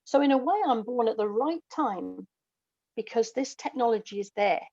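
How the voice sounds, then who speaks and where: A woman's voice: on the high side, animated, normal speed, warm tone, no room sound. Middle aged woman chatting as interview guest, very dry sound, bit lo-fi. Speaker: guest, audio dramatist and performer. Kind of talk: conversational interview storytelling.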